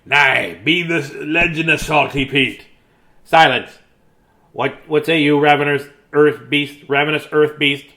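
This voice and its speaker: A man's voice: a little high, steady tone, a little slow, bright, forceful tone. A man voicing a blustering salty old storybook pirate, growling in archaic pirate talk.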